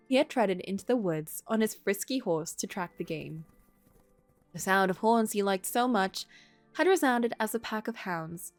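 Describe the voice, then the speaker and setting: A woman's voice: mid-range voice, darkish tone, dry recording. Woman reading a story over music. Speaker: livestreamer reading a visual novel aloud. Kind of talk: story narration mixed with casual stream commentary.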